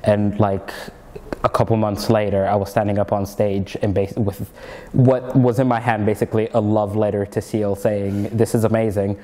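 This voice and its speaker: A young Norwegian-born man with an American accent, his voice low and mellow. He chats casually, rambling with many fillers and restarts. The sound carries a long reverb. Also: little pitch movement, normal speed. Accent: American-sounding English learned in Egypt. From Norway. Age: young adult. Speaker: comedian and student guest. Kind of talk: casual interview chat.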